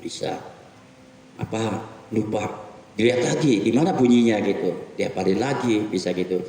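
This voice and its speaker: A middle-aged man giving a weekly mosque sermon in a conversational, animated way, asking his listeners rhetorical questions. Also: fairly low pitch, moderate pace. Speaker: preacher. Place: mosque hall. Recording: echoey mosque hall from far off.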